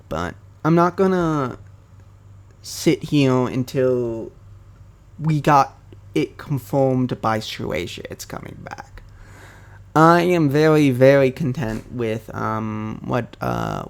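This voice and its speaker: A man's voice: mid-range voice, vocal fry. A young man talking casually to camera about anime, hesitant and full of ums and self-corrections. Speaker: anime commentary youtuber. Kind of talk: casual rambling monologue.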